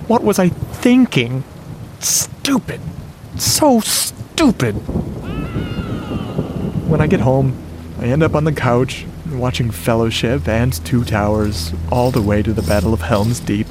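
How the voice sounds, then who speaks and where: A man's voice: mid-range voice, expressive, slow, background noise. An actor playing a lovestruck, self-doubting audio geek, narrating his romance in short, confiding phrases for a radio drama. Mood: self-reproachful.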